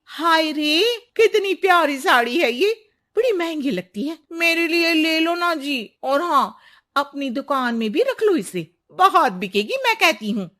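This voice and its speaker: A woman's voice: high-pitched, big pitch swings, unhurried, bright, forceful tone, exaggerated voice. A woman playing a chatty neighbourhood woman in a cartoon moral story, exclamatory and familiar in manner. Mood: gushing.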